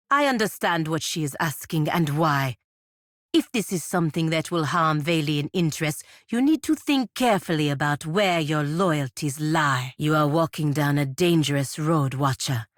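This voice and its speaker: An actress voicing a proud, stern, dutiful woman knight and envoy in a video game, speaking in a formal, measured, dry manner. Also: on the low side, fairly bright tone, dry recording. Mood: stern, warning. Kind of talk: video game character dialogue.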